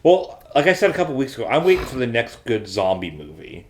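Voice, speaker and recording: A man's voice: mid-range voice, sing-song, fast talker. A man co-hosting a podcast, chatting casually and giving his opinions in a matter-of-fact way. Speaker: podcast co-host. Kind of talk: casual chat.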